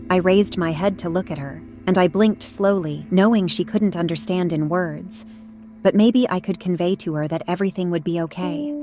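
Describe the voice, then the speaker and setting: A woman voicing a devoted, perceptive pet cat, telling a story in a measured, earnest way. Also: lowish voice, lightly acted. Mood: tender, watchful. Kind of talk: first-person dramatic story narration. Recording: narration over prominent music.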